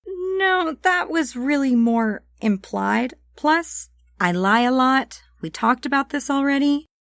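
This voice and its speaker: Woman acting a brash, indignant woman, pitched high. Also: expressive, takes it slowly, fairly bright tone, dry recording, exaggerated voice. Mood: dismissive, flippant. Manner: flippant, blunt, emphatic. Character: brash, indignant gun-waving woman, a habitual liar.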